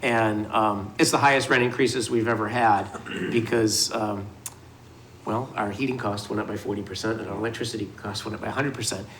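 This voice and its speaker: A man's voice: fairly low pitch, fairly even intonation, brisk, fairly bright tone, slight background noise. A man testifying at a city committee meeting, explaining housing project details in a patient, restarting way. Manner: explanatory, with frequent restarts and fillers. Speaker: housing developer presenting to a committee.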